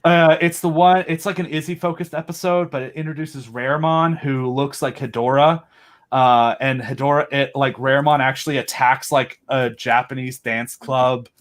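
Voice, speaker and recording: Guest spot: eager man, quick fan chatter, runs on, mid pitch. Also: fairly even intonation. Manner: enthusiastic, rambling fan talk. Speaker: podcast host and audio drama creator. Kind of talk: casual video chat interview.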